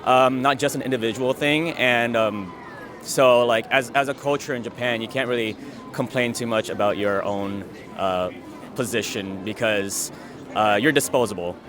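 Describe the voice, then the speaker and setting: A man answering street interview questions outdoors, thoughtful and filling pauses with um. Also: mid-range voice, a crowd in the background. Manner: thoughtful, many fillers.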